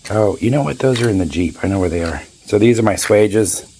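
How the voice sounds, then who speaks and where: A man in his fifties with a raspy, hoarse voice, chatting casually and rambling through a boat repair vlog. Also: lowish voice, animated, a little fast, dark tone, slight background noise. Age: 50s. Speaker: boat owner vlogger.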